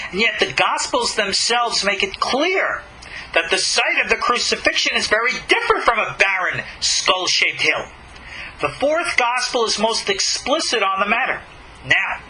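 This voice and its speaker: A man reading aloud a religious lecture in an emphatic, dramatic, deliberately phrased way. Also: high voice, pushed and bright, a little hiss or hum, low-quality recording. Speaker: rabbi giving a religious lecture.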